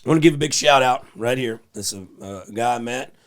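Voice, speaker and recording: A man hosting a podcast, joking crudely and loudly with his guests and reading sponsor plugs. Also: medium pitch, fast. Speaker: podcast host. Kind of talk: crude casual podcast banter.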